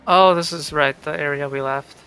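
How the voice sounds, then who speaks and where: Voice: medium pitch, steady tone, moderate pace, a bit bright, clean tone, rough recording. A young adult streamer giving casual gameplay commentary. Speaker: gaming streamer. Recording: game music behind the voice.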